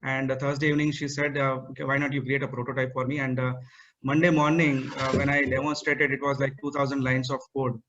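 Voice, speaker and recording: A man speaks over a video call, his pitch staying fairly flat. He halts often with fillers and restarts, presenting to an online meetup. The call audio sounds somewhat muffled. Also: fast, background noise. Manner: hesitant, many fillers and restarts. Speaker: guest speaker.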